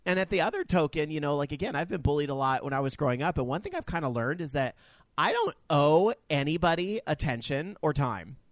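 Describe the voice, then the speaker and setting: Profane, animated male podcast storyteller. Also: on the high side, a little fast, no room sound. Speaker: podcast co-host. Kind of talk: casual chat.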